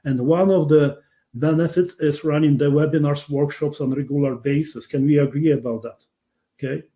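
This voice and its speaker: A man's voice: on the high side, steady tone, normal speed, mellow. A man with a Polish accent, born in Poland, hosting an online webinar and persuading his audience, often checking in with them. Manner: persuasive, keeps asking the audience "right?". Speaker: webinar host.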